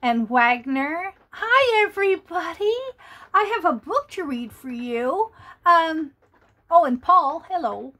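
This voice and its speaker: A woman's voice: extremely high pitch, lively intonation, slow, bright, forceful tone. An older woman reading a picture book aloud to children, playful and chatty, greeting them and asking them questions. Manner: asks the young listeners questions. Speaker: storyteller for children.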